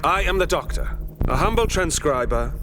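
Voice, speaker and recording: An actor playing an eager, flattering time-traveller who poses as a humble, bumbling assistant in an audio drama, speaking in florid, obsequious phrases. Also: male voice, a little high, moderate pace, noisy background, lightly acted. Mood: grandly deferential.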